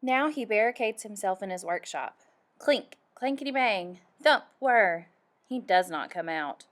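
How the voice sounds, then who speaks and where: A woman reading a picture book aloud for young children, animated and deliberate, acting out the sound effects. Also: medium pitch. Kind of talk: expressive read-aloud storytelling for young children.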